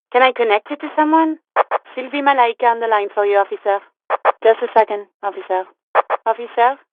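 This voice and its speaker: A woman playing a polite, businesslike police dispatcher in a video game, speaking formally and dryly through a radio filter. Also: fairly high pitch. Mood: polite, efficient. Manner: formal, courteous, clipped official delivery. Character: polite, businesslike female police dispatcher, patient but dry. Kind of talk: scripted video game voice lines. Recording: tinny, narrow-band two-way radio.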